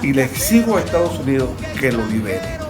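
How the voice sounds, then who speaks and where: A man's voice: mid-range voice, big pitch swings, a little fast. A man giving an emphatic political testimonial in a firm, demanding manner. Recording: loud music under the voice.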